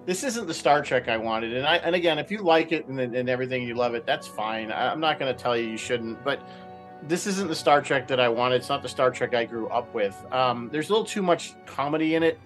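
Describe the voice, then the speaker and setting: A man's voice: mid-range voice, animated, a little fast, a bit bright, faint music behind. A man sharing opinions casually on a radio roundtable, hedging and repeating himself as he goes.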